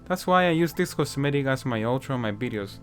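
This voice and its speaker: A man's voice: mid-range voice, expressive, quick pace, a bit bright. Casual chatty man, improvised commentary. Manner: casual, chatty, frequent "you know" fillers. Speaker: gaming YouTuber. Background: faint guitar music.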